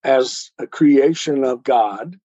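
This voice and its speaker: A man explaining his ideas in a measured, didactic way, building each point step by step, on a slightly dull recording. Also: medium pitch, dark tone, no echo. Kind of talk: explanatory talk on spirituality.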